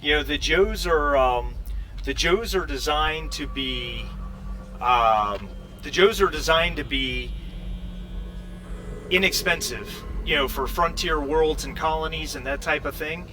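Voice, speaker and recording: A man narrating a tabletop roleplaying game as game master, explaining things in a halting way. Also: on the high side, sharp tone, faint music behind. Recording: faint background noise.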